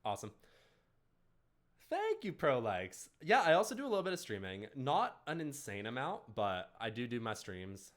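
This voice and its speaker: A male streamer talking through a live esports match, his pitch rising and falling animatedly. He slips between excited play-by-play and easygoing answers to his viewers, never stiff or scripted. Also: normal speed. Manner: lively play-by-play mixed with chat replies. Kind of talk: casual co-stream esports commentary.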